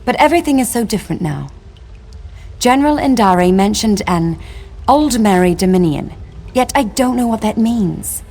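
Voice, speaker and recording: A woman's voice: medium pitch, lively intonation, normal speed, creaky, darkish tone, breathy edge. An actress playing a noble elven lady in a video game, long imprisoned and yearning for home, speaking in formal theatrical diction. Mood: distraught. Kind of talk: video game character acting.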